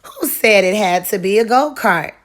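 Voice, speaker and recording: A woman voicing a calm, confident, inventive schoolgirl in a children's storybook, in a breezy, unbothered way. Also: medium pitch, moderate pace, a little hiss or hum, natural voice. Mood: sly confidence.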